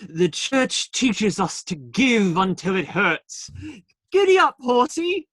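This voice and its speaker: A man plays a teasing outlaw in a radio play, tossing out cheeky banter with a widely swinging pitch. The delivery is unhurried and theatrical, recorded close and dry. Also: sing-song, fairly bright tone, dry recording, full character voice. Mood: teasing, playful.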